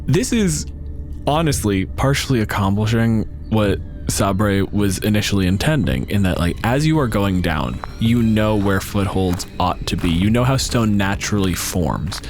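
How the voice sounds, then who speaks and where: A man with a fairly low voice acts as game master for a tabletop roleplaying session. He narrates casually and drops into conversational asides, with faint music underneath. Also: lively intonation, moderate pace, a little mellow.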